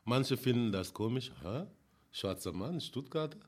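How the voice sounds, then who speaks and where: A man from Senegal speaks German in a relaxed conversation. His pitch moves around a lot, and he keeps dropping in short agreeing words as he talks. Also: unhurried. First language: not German. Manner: warm, repeats short affirmations, laughs often. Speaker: guest. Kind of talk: casual conversation.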